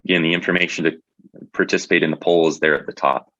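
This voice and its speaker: A man's voice: low voice, steady tone, fast, fairly bright tone, no room sound, dull audio. A man presenting a webinar in a conversational way, often restarting his sentences. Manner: conversational, with many fillers and restarts.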